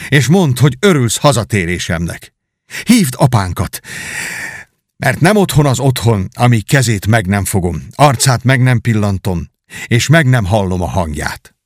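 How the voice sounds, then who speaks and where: A man reading aloud and voicing an excited young knight who returns home and talks without pause. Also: medium pitch, dry recording, clean studio sound, voice pushed up. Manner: eager, exclamatory, talkative. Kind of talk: audiobook reading.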